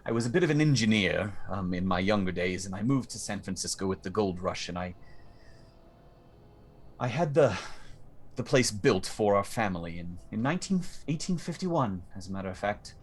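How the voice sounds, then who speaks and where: A man's voice: lowish voice, lively intonation, moderate pace, slightly rough. A man playing an older homeowner who speaks formally and hesitantly, with many ums and restarts. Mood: polite. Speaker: game master.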